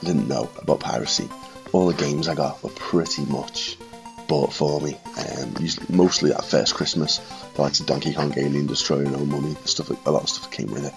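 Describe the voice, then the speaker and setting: A man in his thirties with a northwest English accent and a cold, reminiscing quietly and casually to camera in a room at home. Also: a bass voice, fairly even intonation, fairly quick, vocal fry, music in the background, rough recording. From Liverpool and Widnes, England. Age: 30s. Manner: quiet, subdued late-night talking. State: congested with a cold. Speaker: retro gaming video maker. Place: small furnished room at home, indoors.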